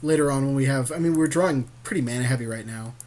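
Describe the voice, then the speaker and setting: Mid-pitched man bantering over a card game. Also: fast, a little mellow. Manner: jokey, agreeable banter. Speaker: podcast host commentating a card game. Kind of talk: casual game commentary with a co-host.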